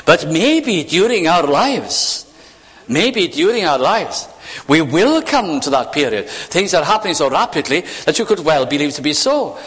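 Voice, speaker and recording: A man preaching a sermon, acting out imagined voices and sinking to hushed, near-whispered phrases. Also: a little high, big pitch swings, measured pace, in a large hall. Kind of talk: evening sermon.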